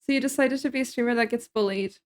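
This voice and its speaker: High-voiced woman streaming while cooking. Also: fast talker. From Sweden. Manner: chatty, laughs often, answers chat messages. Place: her home kitchen.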